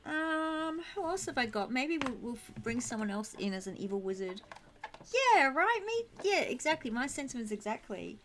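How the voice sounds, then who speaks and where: A woman with a fairly high, slightly croaky voice hosts a casual game stream. She talks in a bubbly, giggly way and is affectionate with her viewers. Faint music plays behind her. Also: very animated, moderate pace, fairly bright tone. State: croaky throat, needs clearing. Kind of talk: casual chat to viewers while playing.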